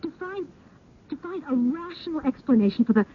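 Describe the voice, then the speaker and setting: A woman's voice: expressive, fairly quick. A woman playing an anxious, timid young wife in an old-time radio drama, speaking in a hesitant, faltering way. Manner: hesitant, faltering, breathless pleading. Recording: dull, narrow old radio recording, rumble.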